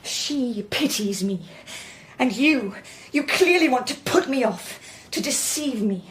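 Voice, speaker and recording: An actress playing an anxious but strong-willed young British bride in a Victorian radio drama, intense and questioning. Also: female voice, fairly high pitch, animated, slow pace, breathy edge, heavily acted. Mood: hurt, suspicious. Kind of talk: period radio drama acting.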